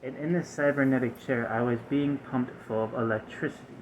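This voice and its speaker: A man voicing a stiff, aggrieved, malfunctioning robot in a soft-spoken ASMR roleplay, with formal, halting, over-precise phrasing. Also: medium pitch, steady tone, slight background noise, natural voice, low-quality recording.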